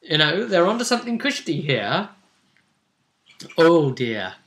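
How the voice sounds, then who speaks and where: A man retelling a tabletop roleplay session as game master, in animated, emphatic conversational storytelling. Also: fairly high pitch, a little slow, creaky.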